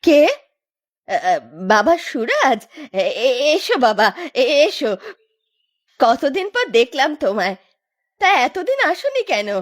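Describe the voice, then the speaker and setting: Woman acting a very shrill, scheming village mother. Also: sing-song, slow pace, pauses often, heavily acted. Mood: flustered, welcoming. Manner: stammering, cajoling, lamenting. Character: scheming village mother feigning sorrow over runaway daughter. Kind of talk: Bengali cartoon story voice acting.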